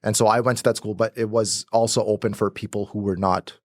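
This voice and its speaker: Guest: young man from Pakistan, native Urdu speaker, lowish voice, rambling casual talk, dry close sound. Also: steady tone, brisk, no room sound. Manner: rambling, many restarts and fillers. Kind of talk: casual conversational interview.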